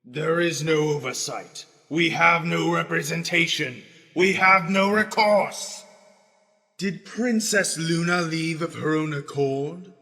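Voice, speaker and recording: A man plays a fiery pony demagogue in a radio drama, with a forceful, bright voice ringing through a long, reverberant hall. He speaks in rhetorical, rousing oratory, rallying citizens against a tyrant. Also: on the high side, takes it slowly, exaggerated voice. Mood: accusing, fervent. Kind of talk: radio drama political speech.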